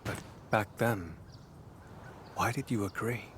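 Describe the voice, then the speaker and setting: Low, slow, solemn game warrior. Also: male voice, slight background noise. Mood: earnest. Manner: measured, formal, hushed and intimate. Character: brooding, solemn male warrior, earnest and devoted. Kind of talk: video game story dialogue acting.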